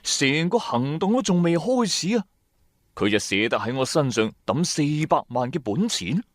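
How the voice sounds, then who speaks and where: A man's voice: a little high, big pitch swings, moderate pace, no room sound, clean studio sound. A man playing a shrewd, wry trader who narrates his own adventures in colloquial, sardonic radio-drama style. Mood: musing, storytelling. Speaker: narrator and lead character.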